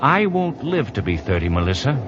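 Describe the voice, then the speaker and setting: An actor playing a bitter, suspicious young husband, nervous and coldly resigned, in an old-time radio drama. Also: male voice, very animated, brisk, lightly acted. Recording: noisy muffled old radio recording, rumbling background.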